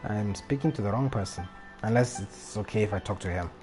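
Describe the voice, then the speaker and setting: A man's voice: lowish voice, fairly quick, music in the background, low-quality recording. A man talking casually as a live game streamer, rambling and often repeating his phrases. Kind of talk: casual gaming livestream chat.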